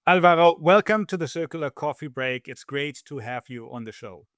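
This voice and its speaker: A man hosting a podcast, reading a prepared introduction and asking questions in a measured way. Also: on the high side, lively intonation, a bit bright, dry recording, clean studio sound. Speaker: podcast host.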